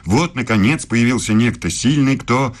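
An actor in a radio play voicing a self-assured, evasive German philosophy professor who speaks in a measured, pontificating way. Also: male voice, medium pitch, natural voice. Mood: fervent, lofty.

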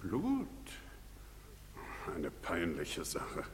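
A man's voice: sits low, huge pitch swings, drawn-out words, slight background noise, full character voice. An actor playing a smug, self-righteous townsman with a pompous, lecturing, dismissive theatrical delivery. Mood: smug indignation. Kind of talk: theatrical stage drama acting. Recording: reverberant theatre stage recording.

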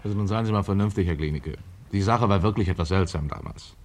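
A man plays a businesslike private detective in a radio drama. His voice is deep and he talks quickly, matter-of-fact and reasonable. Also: darkish tone, close to an everyday voice. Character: brisk, reasonable American private detective, male. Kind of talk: German radio play acting.

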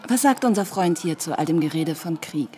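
A woman's voice: sits low, lively intonation, a little fast, warm tone, a little hiss or hum. A woman playing a cool, self-possessed city woman in a radio drama, composed and wry. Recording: music behind the voice.

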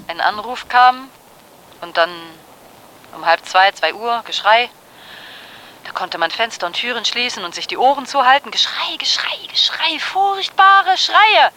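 Indignant female witness, very high pitch, radio play monologue, faint rumble behind. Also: expressive, measured pace, bright, forceful tone. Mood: agitated, indignant. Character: indignant female witness complaining of night-time screaming.

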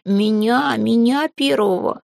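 A pampered talking tomcat from a fantasy storybook, acted out by a woman, boastful and easily offended. The voice climbs high and complains with whiny drama, in a close, dry recording. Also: slow pace, vocal fry, a little mellow, dry recording. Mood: demanding. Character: indignant, pampered, boastful male talking cat familiar.

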